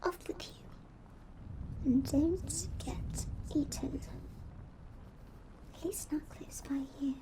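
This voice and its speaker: A woman acting a sulky, self-pitying ghost schoolgirl in an ASMR roleplay, whiny and drawn-out, often slipping into breathy whispers. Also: high voice, low-quality recording. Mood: sulky, morbid.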